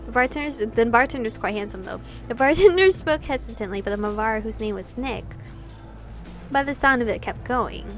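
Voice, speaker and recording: A young woman with a high voice hosts a visual novel playthrough. She moves between narration and informal, giggly commentary in a casual style, over faint background music. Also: expressive, brisk, a bit bright. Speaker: gaming video host.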